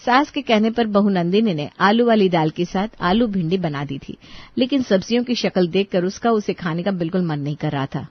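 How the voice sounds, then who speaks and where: A woman's voice: medium pitch, animated, normal speed, dry recording, highs cut off. Woman storyteller, dry and close. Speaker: narrator. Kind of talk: scripted story narration.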